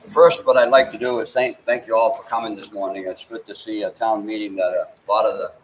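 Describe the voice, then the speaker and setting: An older man moderating a town meeting, folksy and halting, often repeating words as he lays out the rules. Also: on the low side, fairly even intonation, highs cut off. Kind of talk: addressing a public meeting. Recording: distant mic, faint room noise.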